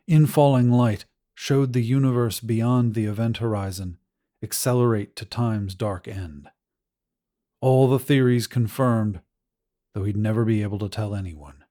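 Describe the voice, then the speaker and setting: A male audiobook narrator reads a scripted story. His voice sits in a middle register with a soft, dark, mellow color. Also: mid-range voice.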